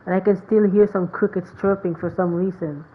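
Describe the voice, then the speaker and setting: A woman's voice: on the low side, steady tone, brisk. A woman streaming a game with casual commentary, sometimes reading the dialogue aloud. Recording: thin phone-like sound, background noise.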